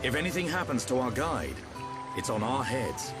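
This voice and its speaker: A man plays a seasoned video game warrior who leads with a steady, protective hand. His medium-pitched voice moves expressively, wry and commanding, over clear background music. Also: normal speed, lightly acted. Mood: firm, rallying. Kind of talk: video game cutscene acting.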